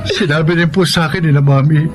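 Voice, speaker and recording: Radio drama boss, male actor: grave, wronged yet forgiving, slightly high register, scored with clear music. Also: fairly even intonation, fast talker, natural voice. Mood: tender, forgiving. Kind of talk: Filipino radio drama acting.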